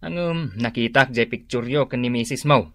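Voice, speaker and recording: A man's voice: medium pitch, normal speed, dry recording, lightly acted. A man playing a tactless, nosy young friend in a comedy radio drama, speaking in a hesitant, chummy way. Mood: awkward, nosy.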